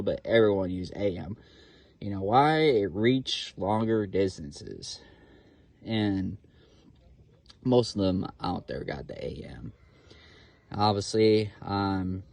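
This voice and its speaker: Slow, low-voiced casual man explaining gear. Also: darkish tone. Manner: informal, rambling, many "you know" fillers; admits to nervousness. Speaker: hobbyist radio reviewer. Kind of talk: casual show-and-tell explanation.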